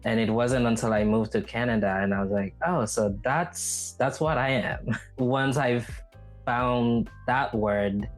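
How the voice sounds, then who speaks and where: A man from Haiti chats as a podcast host in a reflective, personal way. His voice sits at an average pitch for a man. Faint guitar music plays behind him. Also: fairly even intonation, measured pace. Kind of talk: casual personal conversation.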